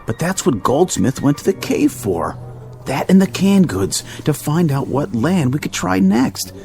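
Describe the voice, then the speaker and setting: An actor playing an impatient, hungry townsman in a radio drama, challenging and excitable. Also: on the high side, a little slow, soft background music. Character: impatient, hungry male townsman doubting authority.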